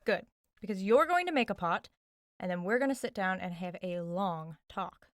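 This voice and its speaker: A woman's voice: mid-range voice, lively intonation, normal speed, dry recording, natural voice. A young American woman playing a wry, skeptical but loyal friend who questions directly in a naturalistic audio drama. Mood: wry, wary. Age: young adult. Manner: dry, sardonic, direct.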